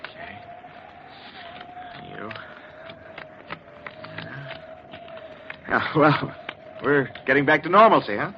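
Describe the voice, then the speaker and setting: A man's voice: a little high, expressive. An actor playing a dismissive husband hiding his fear in an old radio horror drama, impatient and insistent. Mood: forced cheer. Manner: impatient, insistent, halting when rattled. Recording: muffled, noisy vintage radio recording.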